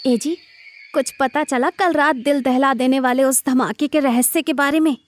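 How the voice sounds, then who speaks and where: Anxious young village wife, female actor, horror cartoon: high-pitched, pleading delivery. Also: fairly even intonation, normal speed, no echo, clean studio sound, natural voice. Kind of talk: dramatised horror cartoon story.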